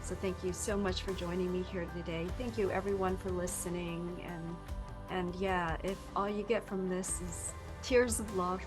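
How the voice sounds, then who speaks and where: A woman hosting an interview podcast who listens closely, affirms often with short replies, and asks her questions gently. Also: on the low side, fairly even intonation, moderate pace, music in the background. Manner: warm affirming listener, lots of "mm-hmm" and "yes". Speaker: podcast host.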